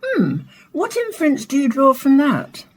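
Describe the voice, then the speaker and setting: An actress playing a composed, courteous radio science presenter in a radio play, speaking in a polished, formal way. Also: female voice, high-pitched, wildly swooping pitch, unhurried, full character voice. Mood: curious. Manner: polished, formal, carefully phrased. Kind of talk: scripted radio play, science broadcast delivery.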